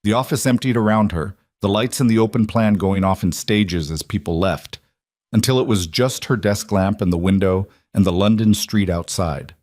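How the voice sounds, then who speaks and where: A man reading a scripted dramatic story in a measured, solemn manner. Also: on the low side, darkish tone, no echo, studio quality. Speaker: narrator.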